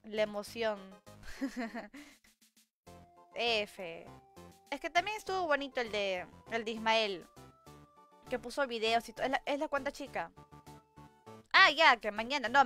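A woman's voice: a little high, lively intonation, moderate pace, long pauses, pushed and bright. A young woman live-streaming a game from home, chatting casually, thanking viewers and reading their messages aloud with frequent giggles. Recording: clear game music underneath. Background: game music.

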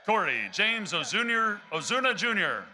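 A young man with a Texan accent, reading graduates' names one by one from a podium microphone on a large arena stage, in a loud, measured announcing voice. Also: sits high, sing-song. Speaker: graduation name reader.

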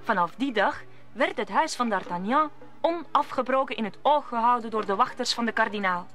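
A woman's voice: a little high, lively intonation, brisk, a bit bright, faint music behind. A woman narrating a radio play in a scripted storybook style.

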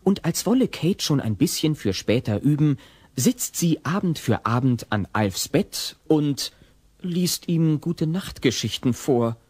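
A man's voice: a little high, lively intonation, measured pace, darkish tone, husky, no echo. A man narrating an audio drama in a scripted storytelling style.

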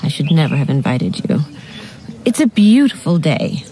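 Imperious posh society lady, mellow but swooping all over, radio drama. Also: deep voice, sing-song, moderate pace. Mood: exasperated, brisk.

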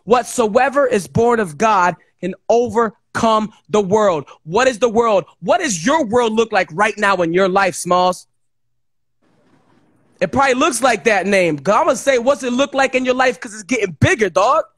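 A man's voice: sits high, moderate pace, a bit bright, no room sound, studio quality. A man hosting, speaking in fervent, emphatic preacher style as he prays and exhorts over a guest. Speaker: host praying over a guest. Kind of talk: fervent impromptu prayer and exhortation.

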